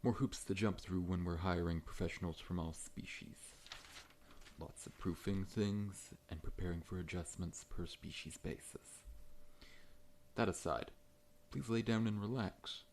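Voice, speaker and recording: A man playing a large, friendly scorpion monster dentist in an ASMR roleplay, talking in a gentle, reassuring, professional way. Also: low-pitched, unhurried, slight background noise. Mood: polite, welcoming.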